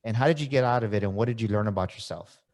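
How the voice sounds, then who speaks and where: A man's voice: fairly low pitch, racing, darkish tone. A man in his forties hosting a podcast interview, speaking fervently and repeating phrases for emphasis. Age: 40s. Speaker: podcast host. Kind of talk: motivational interview talk.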